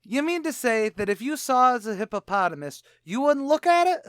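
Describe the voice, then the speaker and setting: A man playing a brash, fast-talking tavern manager with slangy speech in an old-time radio comedy. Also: very high voice, very animated, smooth, no echo, clean studio sound. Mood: wisecracking. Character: wisecracking adult male tavern manager.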